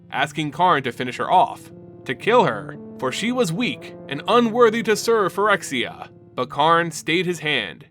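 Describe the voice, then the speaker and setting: Male lore narrator, slightly high, lively emphatic read. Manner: animated, emphatic storytelling. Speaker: lore channel host. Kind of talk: dramatic story narration.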